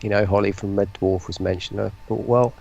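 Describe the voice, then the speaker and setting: A man giving informal, halting answers as a guest in a casual chat. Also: on the low side, fairly even intonation, vocal fry, darkish tone, breathy edge, lo-fi sound. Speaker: interview guest, voice pack producer.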